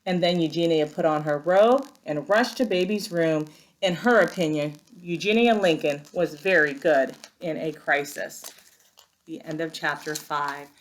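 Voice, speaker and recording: A woman working as a first-grade teacher, giving an expressive, instructive read-aloud lesson for young children. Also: sits low, a little slow, poor audio.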